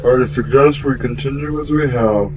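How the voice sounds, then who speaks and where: An actor voicing a cold, measured alien ship chief in a sci-fi radio drama, halting and formal, heard from a distance through noise. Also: male voice, medium pitch, lightly acted. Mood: wary. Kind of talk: golden age sci-fi radio drama acting. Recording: distant voice with loud noisy ambience.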